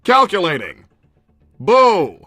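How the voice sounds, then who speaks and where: A war-hungry cartoon robot states its plans in a high, piercing voice. It is stiff and formal, coldly deliberate and free of emotion. The recording is dry and close. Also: male voice, wildly swooping pitch, pushed and bright, no room sound, exaggerated voice. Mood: coldly warlike. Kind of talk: improvised in-character conversation.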